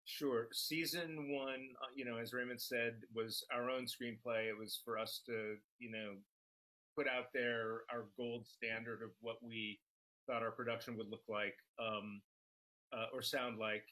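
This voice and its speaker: A middle-aged man, an interview guest, explaining in a measured, matter-of-fact conversational way. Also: mid-range voice, little pitch movement, sharp tone, rough recording.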